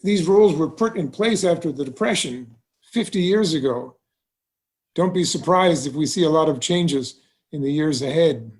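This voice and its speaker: Casting: male actor for genial small-town bank president, courteous and measured, slightly high-pitched, table-read delivery. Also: expressive, a little mellow, lightly acted. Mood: thoughtful, cautious. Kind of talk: musical theatre table read.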